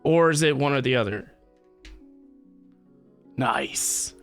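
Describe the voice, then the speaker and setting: A man's voice: medium pitch, takes it slowly, creaky. A man hosting a casual game livestream, rambling and thinking aloud as he talks to viewers. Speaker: livestream host playing a video game. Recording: game music behind the voice. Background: video game music.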